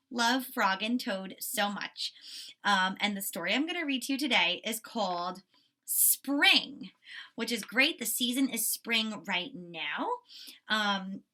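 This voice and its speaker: A woman's voice: medium pitch, sharp tone, recorded from a distance. A woman primary school teacher giving a children's lesson and reading a storybook, instructive, stressing key words and often checking in.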